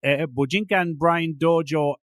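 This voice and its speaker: A man's voice: fairly high pitch, unhurried, no echo, clean studio sound. A man with an Irish accent hosting a casual interview, rambling with many restarts and fillers. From Ireland. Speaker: podcast host.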